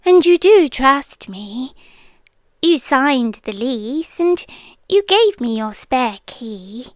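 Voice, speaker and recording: A woman's voice: high-pitched, sing-song, slow pace, no echo, exaggerated voice. A British woman playing a possessive, sweetly menacing landlady girlfriend in an intimate ASMR roleplay, coaxing and controlling, often dropping into whispers. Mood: sweetly domineering.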